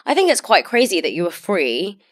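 A woman's voice: medium pitch, wildly swooping pitch, fairly quick. A young woman hosting a podcast in a studio, chatting candidly and self-deprecatingly into a stand mic, her throat a little sore. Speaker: podcast host. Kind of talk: casual interview chat. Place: podcast studio with purple lighting, indoors.

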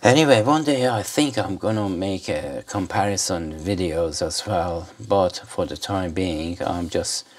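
Male gadget reviewer, deep pitch, unhurried and rambling, casual hands-on demo. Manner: rambling, hesitant. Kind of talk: casual unscripted product demonstration.

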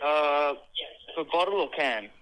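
Male pizza worker, slightly high voice, bright, brief, patient, businesslike phone service. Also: animated, measured pace, rough recording. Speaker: pizza shop order taker. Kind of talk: customer service phone call.

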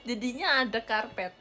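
A woman's voice: on the high side, sharp tone. Giggly young woman chatting on a livestream. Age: young adult. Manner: giggly, chatty, reads out viewer gifts. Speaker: idol livestreamer. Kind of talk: casual livestream chat with viewers. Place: small bedroom with a gaming chair. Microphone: webcam or phone at close range.

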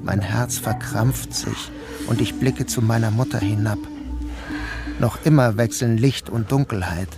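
An actor playing a troubled, dreamy grown son who narrates his surreal day in a measured, introspective way. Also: fairly low pitch, vocal fry. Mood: brooding, introspective. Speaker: narrator and protagonist. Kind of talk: first-person literary radio play narration. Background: music.

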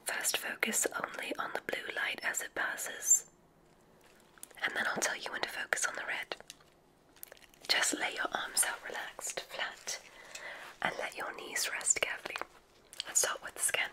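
A woman plays a kindly witch examiner at a magic school in an ASMR roleplay. She whispers slowly and soothingly, with careful, reassuring instructions. The sound is very bright and sharp, with a breathy texture. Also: rough recording.

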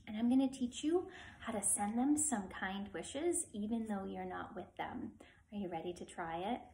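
A woman's voice: mid-range voice, moderate pace, creaky, warm tone, a little hiss or hum. A woman in her thirties reading a picture book aloud expressively and speaking simply and directly to young children. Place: living room, on a sofa. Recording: echoey room.

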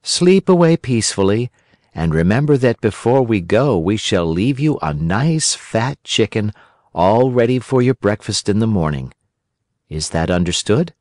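A man doing a sly smooth-talking weasel. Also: lowish voice, very animated, a little slow, darkish tone, no echo, studio quality. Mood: scheming. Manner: smooth, coaxing and scheming.

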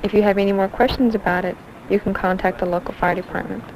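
A woman speaks quickly at a medium pitch, giving rehearsed safety advice to visitors. The old film soundtrack has some faint noise. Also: fairly even intonation. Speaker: fire safety adviser.

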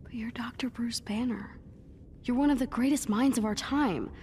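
A woman as an earnest, higher-voiced teenage girl. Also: moderate pace, background noise, close to an everyday voice. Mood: pleading. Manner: earnest, pleading. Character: earnest, determined teenage superhero fan girl. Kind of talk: video game cutscene acting.

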